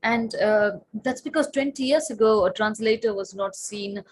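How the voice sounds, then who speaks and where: A woman's voice: fairly high pitch, moderate pace, a little hiss or hum. A woman in her late thirties, a Tamil native speaker, answering on a panel as a writer and translator, thoughtful, with many fillers and restarts.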